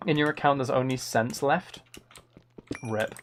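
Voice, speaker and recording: A man's voice: medium pitch, unhurried, a little hiss or hum. A man chatting casually as he live-streams a game, thinking aloud in an easygoing running commentary. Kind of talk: casual chat while playing a game live.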